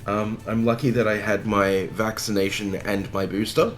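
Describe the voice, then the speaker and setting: A man from Australia co-hosting a casual card game stream, his voice still rough after covid, talking frankly with lots of ums. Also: fairly low pitch, little pitch movement, normal speed, faint music behind. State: recovering from covid, voice affected. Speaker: streamer and co-host.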